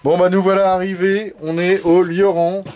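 A man's voice: high voice, level tone, normal speed, darkish tone. A middle-aged man, narrating a family motorhome trip in a casual, chatty way with many fillers. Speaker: family travel vlogger. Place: outdoors at a ski resort and in a motorhome. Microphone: handheld camera mic. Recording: noisy outdoor camera audio. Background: vehicle and outdoor ambience.

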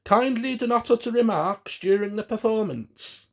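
A man's voice: high-pitched, normal speed, pitched above the performer's own voice. A man voicing a bossy, impatient male puppeteer in an audiobook, giving imperious orders. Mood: pompous.

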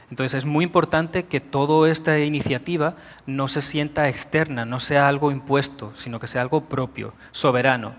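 A middle-aged man from Spain with a Spanish accent, giving a measured conference talk with frequent pauses in a large auditorium. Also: medium pitch, a bit bright. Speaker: conference speaker. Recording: long hall reverb.

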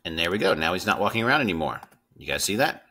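Low, bright-voiced man teaching coding. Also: big pitch swings, fast talker, a little hiss or hum. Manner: informal, instructive, checks in with "right?" and "okay?". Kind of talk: screen-recorded tutorial, explaining step by step. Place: indoors at a computer.

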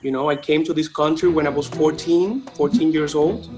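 A man's voice: a little high, steady tone, moderate pace, darkish tone, soft background music. A man in his twenties, born in Cuba, introducing himself earnestly over a video call with many fillers. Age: 20s. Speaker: contestant.

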